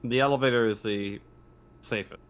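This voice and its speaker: A man's voice: mid-range voice, fairly even intonation, moderate pace, clean tone. A man running a tabletop game as game master, improvising his narration and often stammering or revising his phrases as he goes.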